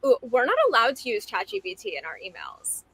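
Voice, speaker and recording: A woman's voice: mid-range voice, big pitch swings, fairly quick, fairly bright tone, breathy edge, no echo. Young woman, from New Jersey, chatty, laughs a lot, dry close sound. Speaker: dating show contestant. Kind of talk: casual speed-date chat.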